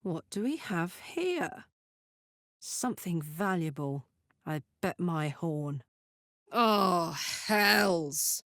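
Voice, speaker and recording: A woman's voice: mid-range voice, a bit bright, no room sound, full character voice. A woman voicing a brash, fiery horned warrior woman in a video game, talking bluntly and informally like a soldier, recorded dry. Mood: curious, casual, then amazed. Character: brash, fiery female devil-horned warrior with an infernal engine heart. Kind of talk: video game character voice lines.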